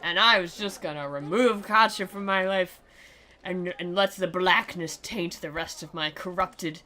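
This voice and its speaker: A woman's voice: lowish voice, a little slow, pushed and bright. A woman voicing a self-centered, brooding teenage anime boy in a mock-dramatic visual novel reading.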